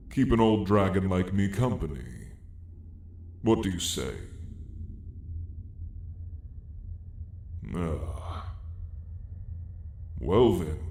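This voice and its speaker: An ancient, lonely dragon played by a man in an ASMR fantasy roleplay, with a very low voice that echoes around him. He speaks slowly and solemnly in old-fashioned phrases, gentle and wistful. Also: exaggerated voice. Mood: gentle, inviting. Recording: echoey space with faint hiss.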